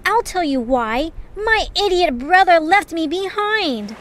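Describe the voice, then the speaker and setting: Woman as sour sharp-tongued adventurer girl, high pitch, unhurried, sarcastic, audio drama. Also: big pitch swings, slight background noise, full character voice. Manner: sarcastic, dry, deliberate.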